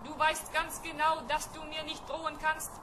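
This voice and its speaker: A woman's voice: sits high, level tone, moderate pace, background noise, close to an everyday voice. A woman playing a cocky, argumentative spaceship robot in an old German-style children's radio play, precise and pedantic. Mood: pedantic, cool. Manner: precise, matter-of-fact, pedantic.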